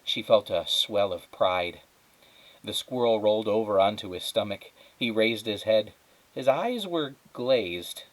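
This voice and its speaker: A man reading a children's story aloud as a teacher, in expressive narration. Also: on the low side, unhurried, low-quality recording.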